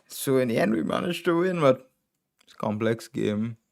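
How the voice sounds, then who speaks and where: A man's voice: mid-range voice, expressive, moderate pace, creaky. A man from Trinidad and Tobago with a Trinidadian accent, streaming live and thinking aloud as he reviews chess games move by move. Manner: thinking aloud, calling out moves in short bursts.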